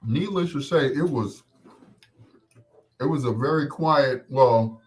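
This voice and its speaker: A man's voice: medium pitch, takes it slowly. An American man in his sixties hosting a casual live chat, reminiscing in a rambling, conversational way and repeating points for emphasis. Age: 60s. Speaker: host.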